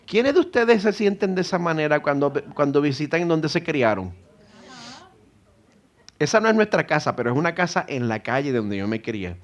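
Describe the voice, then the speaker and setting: A middle-aged man with a Puerto Rican accent preaching an earnest sermon in church, rising to emphatic exhortation. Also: a little high. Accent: Puerto Rican Spanish. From Puerto Rico. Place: church sanctuary.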